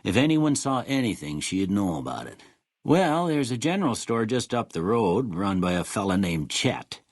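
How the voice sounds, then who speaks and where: Old country doc from a video game, american, deep husky voice, kindly n weary, folksy drawl, dry close sound. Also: male voice, big pitch swings, moderate pace, no echo. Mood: calm, matter-of-fact. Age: older. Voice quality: husky, gravelly. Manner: folksy, rustic, plain-spoken drawl. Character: kindly, world-weary old small-town doctor, folksy, plain-spoken. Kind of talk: video game character dialogue.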